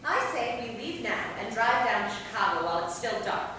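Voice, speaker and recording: A woman's voice: on the high side, lively intonation, fairly quick, sharp tone. A woman playing a determined, practical female vampire in a staged play reading, with a firm, matter-of-fact delivery. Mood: decisive. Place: theater hall, recorded from a distance. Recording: very echoey hall, far mic, noisy.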